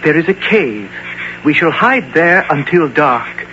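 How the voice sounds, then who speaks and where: An actor playing a noble, resolute young guide who speaks in formal, stilted phrases in an old-time radio drama. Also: male voice, fairly high pitch, very animated, a little slow. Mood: commanding. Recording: muffled vintage radio, clear noise.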